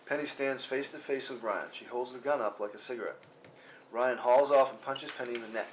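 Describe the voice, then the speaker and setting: A man's voice: medium pitch, fairly quick. A man reading out the action of a film script as narration at a table read. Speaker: reader of stage directions. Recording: noisy room with clicks.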